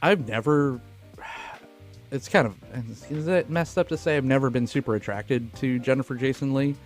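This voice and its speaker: A man chats as co-host of a film review podcast in a mid-pitched voice. His talk is casual and profane, with music playing underneath. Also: moderate pace.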